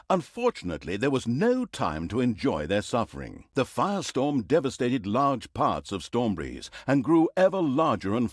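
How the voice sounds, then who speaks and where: An actor playing a sarcastic, pompous storyteller, narrating a fantasy video game with dry, mock-dramatic humor. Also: male voice, mid-range voice, no echo. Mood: wry, sardonic. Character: sarcastic, pompous male storyteller of evil deeds. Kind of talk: theatrical scripted fantasy narration.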